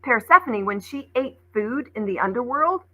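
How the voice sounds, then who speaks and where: Woman, bright forceful voice, husky edge, teacher, expressive storybook read-aloud, plain explanations. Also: a little high, moderate pace, rough recording. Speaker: schoolteacher reading aloud.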